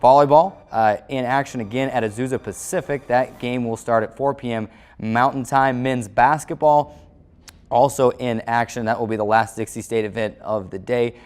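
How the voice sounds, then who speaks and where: A man's voice: mid-range voice, fairly even intonation, normal speed, a little mellow. A man with an American accent presenting a sports show in a scripted announcer style, as its host. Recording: music playing under the voice. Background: background music.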